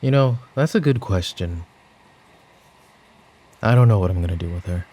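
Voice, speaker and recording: A man playing a weary, exasperated mafia boss in an ASMR audio drama, talking in a dry, halting way. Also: sits low, lively intonation, dark tone, husky. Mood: incredulous, flustered. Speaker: voice actor in audio roleplay.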